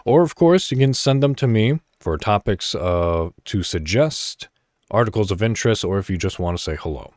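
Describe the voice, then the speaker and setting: A man speaks as the host of a solo podcast in a fairly low voice. He addresses listeners casually, moving through deliberate, segmented phrases. The sound is very dry, with the microphone close to him. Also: expressive, darkish tone, no room sound.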